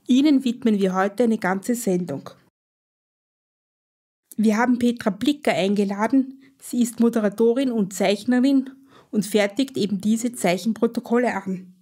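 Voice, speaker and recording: A woman hosting a radio show, reading her introduction in measured, clear phrases. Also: on the high side, mellow. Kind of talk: scripted radio presenting.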